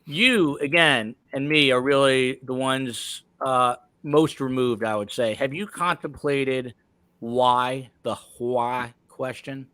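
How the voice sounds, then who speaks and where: A man hosting a podcast, talking casually with panelists and reading out comments, sometimes in a halting, meandering way. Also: mid-range voice, bright, forceful tone, dry recording. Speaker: podcast host.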